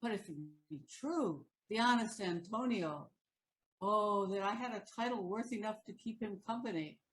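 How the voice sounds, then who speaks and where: A woman playing a wry, sharp-tongued Venetian townsperson in a Shakespearean audio play, speaking in a stagey, declamatory way. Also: mid-range voice, moderate pace, a little hiss or hum, lightly acted, low-quality recording. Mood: wry, curious.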